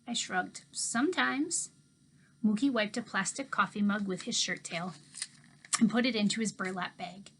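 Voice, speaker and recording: A woman teacher reading a children's novel aloud to her class with expressive storybook delivery. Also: mid-range voice, normal speed, noisy background.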